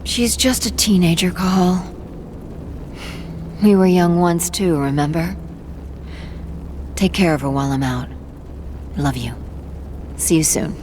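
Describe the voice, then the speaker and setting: Low-pitched self-assured mother, played by an actress. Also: a little slow, long pauses, noisy background, close to an everyday voice. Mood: tender, chiding. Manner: self-assured, gently chiding. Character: confident, affectionate adult wife and mother, field operative. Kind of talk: video game cutscene acting.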